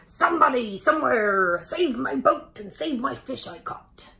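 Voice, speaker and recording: A woman's voice: high-pitched, animated, measured pace, gravelly, crowd noise, exaggerated voice. A woman voicing a greedy, indignant male boat captain in a children's storybook, with a demanding manner. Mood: desperate. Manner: hollering, demanding.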